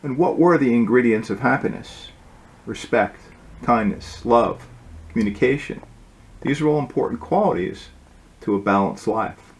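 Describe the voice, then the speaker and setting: A man reading his own storybook aloud as its author, in an informal way with occasional halting asides. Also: mid-range voice, poor audio.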